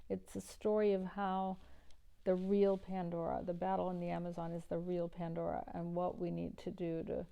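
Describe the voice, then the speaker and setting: Middle-aged woman from iran, activist guest, flat gravelly low voice, keeps restarting. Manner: earnest, many restarts and fillers. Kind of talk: interview answers.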